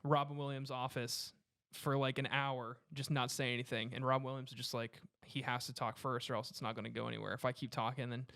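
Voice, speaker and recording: A man's voice: medium pitch, little pitch movement, a little fast, gravelly, a bit bright. Enthusiastic man in casual conversation. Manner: enthusiastic, slangy. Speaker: discussion panelist. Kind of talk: casual group chat.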